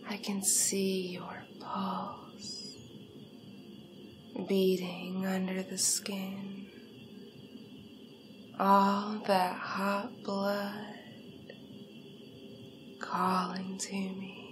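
Very slow, seductive vampire villainess played by a woman. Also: medium pitch, monotone, background noise. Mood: menacing, seductive. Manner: slow, breathy, deliberate, often dropping into whispers. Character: seductive hungry vampire villainess, hypnotic and poised. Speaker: roleplay performer. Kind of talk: ASMR hypnosis roleplay, in character.

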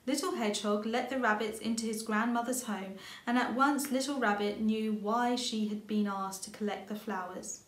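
Woman reading a kids picture book, highish voice, expressive, bit of room echo. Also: normal speed, few pauses. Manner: expressive reading with character lines. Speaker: author reading aloud. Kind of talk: storybook narration for children. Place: indoor room with bookshelves. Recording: roomy home recording.

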